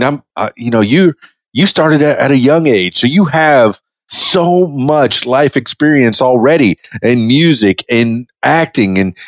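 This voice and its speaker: A man's voice: mid-range voice, no echo, muffled recording. A man in his fifties hosting a podcast interview, rambling with many restarts and easy laughs. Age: 50s. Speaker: podcast host. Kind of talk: casual interview chat.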